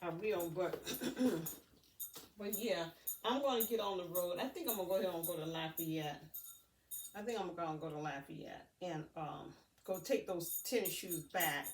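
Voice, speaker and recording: A woman's voice: on the low side, unhurried. Rambling, folksy woman in a roomy, distant recording. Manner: rambling, folksy, thinking aloud. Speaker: vlogger. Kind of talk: casual unboxing vlog chat to viewers. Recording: roomy, distant mic, faint noise.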